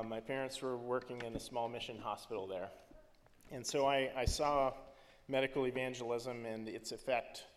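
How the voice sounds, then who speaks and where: Earnest missionary man in a reverberant hall. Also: mid-range voice. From Philippines. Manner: earnest, halting with frequent ums and restarts. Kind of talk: church missions talk.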